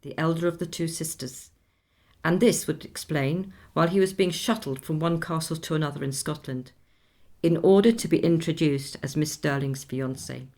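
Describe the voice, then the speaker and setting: Composed lady storyteller in a radio play, low voice w lots of creak, measured n articulate. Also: darkish tone. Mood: measured, sombre. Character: Miss Lind, composed woman recounting a composer friend's last tour. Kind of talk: scripted radio play narration.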